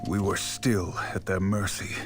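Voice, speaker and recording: Low-voiced stoic mercenary warden. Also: male voice, little pitch movement, a little slow. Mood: grim, reflective. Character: stoic mercenary warden recounting his war. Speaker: narrator. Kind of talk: first-person scripted game narration. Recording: loud music over the voice.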